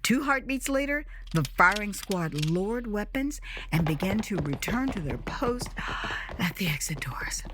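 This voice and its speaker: An American woman narrating a first-person audio drama in a theatrical, expressive way with dramatic pauses. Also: medium pitch, noisy background. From United States.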